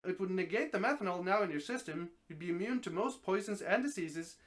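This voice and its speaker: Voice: mid-range voice, expressive, a little fast, close to an everyday voice. A reader voicing an affable male talking snake who grants wishes, explaining things patiently and confidently in a story. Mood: explanatory.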